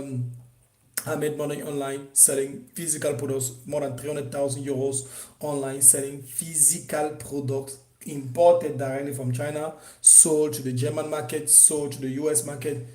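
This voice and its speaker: A man from Cameroon teaching an online business course, talking in an animated way with many restarts and fillers. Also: fairly high pitch, roomy sound. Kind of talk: unscripted course presentation.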